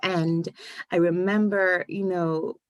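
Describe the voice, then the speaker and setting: A woman in her forties from Ghana, a guest on a video-call interview show, telling her story in a lively way and laughing often. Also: on the low side, slow, no echo, studio quality. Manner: animated storyteller, laughs often, acts out quoted voices. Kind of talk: casual interview conversation.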